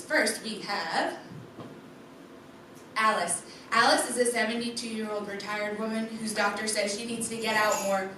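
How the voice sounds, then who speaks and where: Woman presenting in an echoey room. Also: medium pitch. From USA. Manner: clear rehearsed delivery, speaks straight to the audience. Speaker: student presenter. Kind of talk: project presentation to a live audience. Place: darkened presentation room with an audience. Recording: echoey room from far off, background noise.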